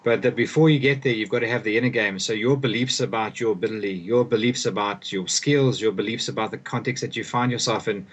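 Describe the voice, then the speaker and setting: A man's voice: on the low side, fast, few pauses, husky. A young man from South Africa, a guest author, giving thoughtful conversational answers and often repeating words as he restarts sentences. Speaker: guest author and performance coach.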